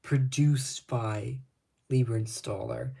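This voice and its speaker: Slow, slightly raspy laid-back man. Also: mid-range voice, steady tone, dark tone. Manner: laid-back, rambling, many ums and restarts. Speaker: record collector showing his finds. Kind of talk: casual talk to camera.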